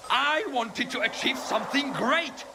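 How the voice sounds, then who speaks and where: An actor playing an unhinged, bitter and grandiose scientist in a video game, ranting theatrically over a noisy background with music. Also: male voice, very high voice, a little slow, creaky, exaggerated voice. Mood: indignant, fervent. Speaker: scientist character. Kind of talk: video game cutscene acting.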